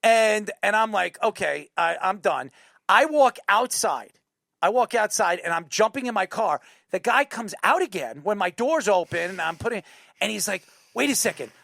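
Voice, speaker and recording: Excitable New man with gravelly creak. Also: sits high, normal speed, no room sound. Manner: excitable, repeats himself, profane. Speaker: sports talk show host. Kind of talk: casual chat, animated storytelling.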